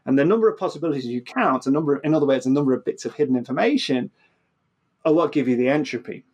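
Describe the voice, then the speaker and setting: A man explaining physics conversationally as an interview guest, often repeating words and restarting mid-phrase. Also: a little high.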